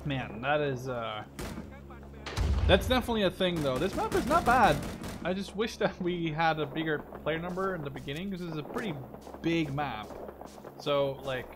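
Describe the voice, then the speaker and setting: A man's voice: a little high, lively intonation, moderate pace. A man giving casual, off-the-cuff commentary while playing a game, informal and sweary as he thinks aloud. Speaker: gameplay commentator. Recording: game gunfire behind the voice. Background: shooter game gunfire and clicks.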